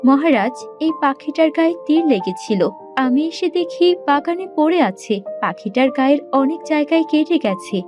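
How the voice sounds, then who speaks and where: Woman playing a high-voiced gentle fairy-tale queen. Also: expressive, moderate pace. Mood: concerned. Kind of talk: Bangla cartoon fairy-tale voice acting. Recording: dull lo-fi audio under loud music.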